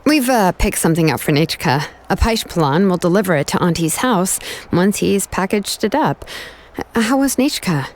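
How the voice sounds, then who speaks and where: A woman's voice: fairly low pitch, animated, moderate pace, a little hiss or hum, natural voice. A woman voicing a cool, discreet, level-headed young craftswoman in a video game. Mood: guarded, casual. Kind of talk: video game character dialogue.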